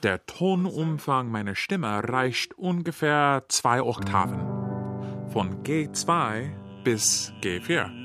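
Radio monologue narrator, singer, mid-low measured delivery, very unhurried, strong musical backing. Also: expressive. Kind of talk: scripted radio play monologue. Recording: radio production with loud music. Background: loud music.

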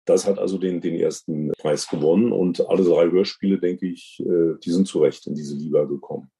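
A man giving deliberate, halting and wordy explanations as an interview guest and award juror. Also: low-pitched, fairly even intonation, mellow. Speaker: podcast guest and radio drama award juror. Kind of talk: unscripted interview commentary.

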